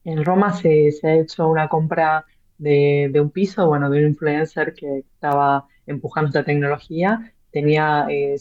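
Low-voiced woman, hesitant. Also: darkish tone. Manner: hesitant, many fillers and repeated words. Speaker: author and blockchain real estate guest. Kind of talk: interview answers. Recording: dull lo-fi sound.

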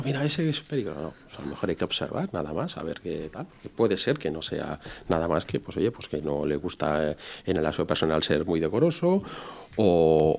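A man's voice: sits low, gravelly, darkish tone. A man in his fifties from Spain, a security executive answering interview questions in an explanatory way, repeating his key points. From Barcelona, Spain. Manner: explanatory, repeats key phrases, rhetorical tags.

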